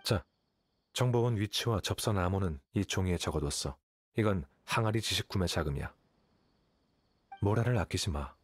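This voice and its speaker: An actor playing an aloof, calculating young scholar in a video game, speaking in a detached, measured, condescending way. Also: male voice, low voice, lively intonation, husky. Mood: composed, instructive. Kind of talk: video game character dialogue. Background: game music.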